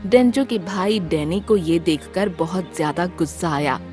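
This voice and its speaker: A woman's voice: lowish voice, measured pace, music in the background. A woman narrator reading a scripted children's animal story.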